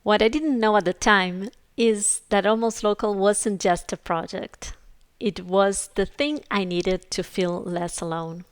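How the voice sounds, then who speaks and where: A woman narrates as a podcast host in a scripted, reflective style. Her delivery is slow and measured, at an average pitch. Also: steady tone, smooth.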